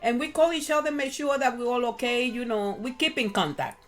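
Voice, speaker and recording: Animated Puerto Rican woman telling stories. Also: a little high, a little fast, soft background music. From Puerto Rico. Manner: animated storytelling, many fillers. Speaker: guest. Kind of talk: casual chat.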